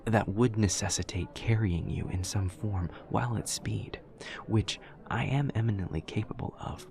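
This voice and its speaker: Man voicing an awkward demon student, very low, creaky. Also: darkish tone. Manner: formal, literal, over-explaining, stilted. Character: awkward, earnest young male demon student learning human friendship. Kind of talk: audio roleplay acting, addressing the listener.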